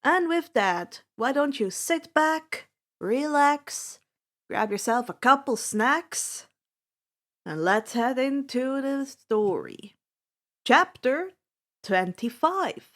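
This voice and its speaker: A woman hosting her own channel and reading fan fiction aloud as an expressive, theatrical amateur audiobook narrator. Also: high voice, very slow. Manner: theatrical, acts out dialogue.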